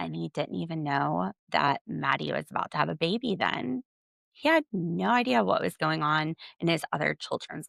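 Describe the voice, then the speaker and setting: A woman's voice: on the low side, creaky, fairly bright tone, dry recording, studio quality. A woman hosting a podcast, giving casual, opinionated solo recap commentary with a sardonic edge. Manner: opinionated, sardonic, quotes others conversationally. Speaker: podcast host.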